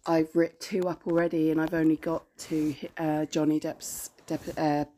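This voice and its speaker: A woman's voice: deep voice, monotone, normal speed, warm tone, a little hiss or hum. A woman co-hosting a podcast, a bit congested with her voice going, chatting casually and rambling as she explains. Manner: chatty, rambling, self-correcting. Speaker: podcast co-host. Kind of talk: casual chat.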